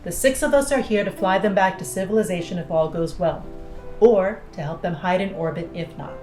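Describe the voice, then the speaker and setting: A cynical female astronaut played by a woman in an audio drama, speaking in a lowish voice. She is blunt, sardonic and persuasive as she pushes an escape plan. The sound is roomy, with faint music behind her. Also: a little fast, a little mellow, natural voice. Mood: blunt, bitter.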